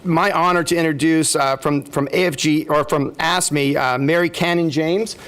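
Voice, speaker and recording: An American man hosting a public event, giving an unscripted introduction in a halting, stumbling manner. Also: a little high, steady tone, a bit bright, smooth. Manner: halting, stumbling over names. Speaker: event host introducing a speaker.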